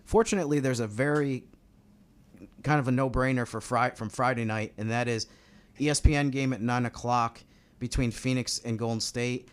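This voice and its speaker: An American man talks through basketball as a podcast co-host. His voice sits at a middling pitch, and his style is casual and conversational, like an analyst chatting with colleagues. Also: steady tone, moderate pace, clean tone.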